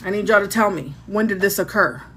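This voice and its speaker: A woman's voice: mid-range voice, fairly quick, noisy background. A woman with a country accent hosting a casual tarot livestream, chatting with her viewers. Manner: chatty, talks to her chat. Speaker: tarot reader livestream host. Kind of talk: casual live chat.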